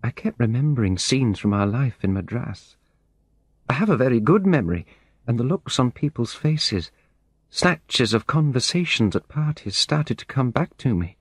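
A middle-aged woman on the edge of breakdown, played by a man reading a story. The voice is lifted high and shakes with emotion as it confides and pleads. The sound is close and dry. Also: very animated, normal speed, breathy edge, no echo. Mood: anxious, confiding. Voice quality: shaky voice. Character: distraught, weeping middle-aged woman, trapped and despairing.

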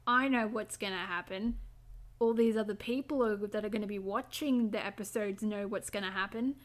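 A woman's voice: medium pitch, moderate pace. A woman, an amateur voice actor, chatting casually and a little hesitantly between takes.

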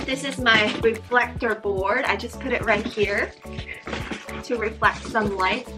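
Brief: young woman beauty vlogger, bubbly, a little hoarse, higher voice, music playing behind her. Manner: chatty and bubbly, repeats words. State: slightly hoarse, lost voice. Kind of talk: casual get-ready-with-me chat. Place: bedroom with dresser and fairy lights. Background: background music.

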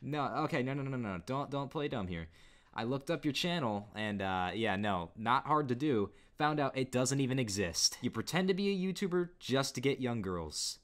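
Young male YouTuber, mid-pitched, casual conversational storyteller. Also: expressive, moderate pace. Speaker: YouTube narrator.

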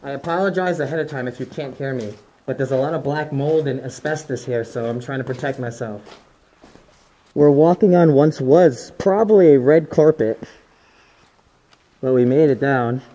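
A man's voice: mid-range voice, moderate pace, dark tone, low-quality recording. A young man casually narrating as he explores an abandoned resort, speculating about what each room used to be. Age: young adult. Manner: chatty, speculative, easily impressed. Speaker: urban explorer. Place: large abandoned resort building, indoors. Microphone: handheld camera.

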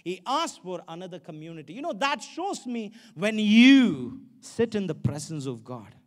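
A man's voice: high-pitched, fairly bright tone. A man with an Indian accent preaching a sermon as a pastor, emphatic and exhorting, repeating phrases for stress. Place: large stage in an indoor auditorium. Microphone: handheld microphone.

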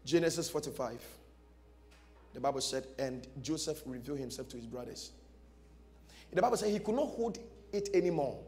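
A man's voice: medium pitch, expressive, moderate pace, pauses often, a little mellow. A man, preaching a dramatic storytelling sermon emphatically, repeating short phrases. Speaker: pastor preaching. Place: large church stage before a seated audience. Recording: music behind, long hall reverb.

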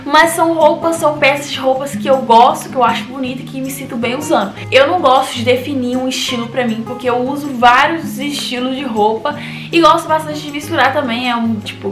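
Young woman, 18, Brazilian Portuguese accent, chatty Q&A vlog, fairly high voice, roomy echo, music underneath. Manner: chatty, many fillers like "tipo assim" and "entendeu?". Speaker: vlogger. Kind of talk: casual Q&A vlog.

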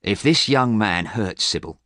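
A man's voice: fairly low pitch, animated, a little slow, a bit bright, no room sound, clean studio sound. Man acting an angry, protective teenage brother. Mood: threatening. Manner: insistent, blunt. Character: angry, protective sixteen-year-old brother, large and brooding.

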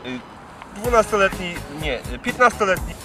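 A man's voice: sits high, very animated, normal speed, music in the background. A man chatting casually outdoors, recorded by a camera a few steps away. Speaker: expedition cyclist. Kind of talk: casual chat. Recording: distant camera microphone outdoors.

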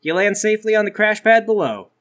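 A man's voice: high voice, expressive, fast, a bit bright. A man working as game master, improvising narration for a tabletop game.

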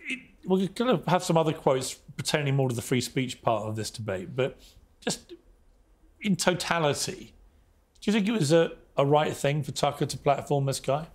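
British male TV host, slightly higher voice, combative and interrupting. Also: expressive, moderate pace. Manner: pushy, interrupting, incredulous. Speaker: TV talk show host.

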